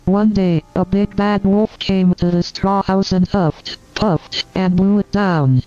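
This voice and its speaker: A woman playing the narrator of an eerie children's storybook, telling the tale in a measured, halting way. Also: mid-range voice, steady tone, mellow, natural voice. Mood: eerie storytelling. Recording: dull lo-fi audio, faint music.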